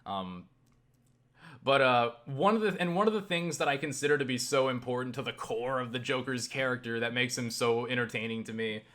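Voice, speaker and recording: A man talks off the cuff in a rambling vlog. His voice sits at an average pitch with a bright, forceful edge. He keeps breaking off and looping back mid-thought, cursing casually as he goes. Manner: halting, self-interrupting, circling back with repeated "you know"; casually profane. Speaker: YouTube vlogger.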